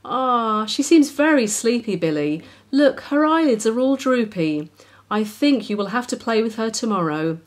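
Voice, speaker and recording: A woman voicing an expressive, motherly mum of a young boy in a children's storybook. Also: fairly high pitch, normal speed. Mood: gentle.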